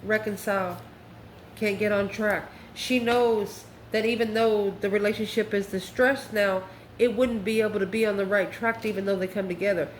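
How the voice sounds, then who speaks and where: A woman with a mid-pitched voice hosts an informal tarot reading. She speaks in a folksy, emphatic manner, calling listeners by endearments. The recording has a roomy echo and a faint layer of background noise. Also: fairly even intonation, normal speed. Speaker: tarot card reader and channel host.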